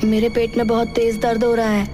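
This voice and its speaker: A woman voicing a hesitant but insistent young village boy in an animated story. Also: on the high side, brisk, music in the background, lightly acted. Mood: feigning pain. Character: scheming young village boy, helpful little brother. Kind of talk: Hindi animated fairy tale acting.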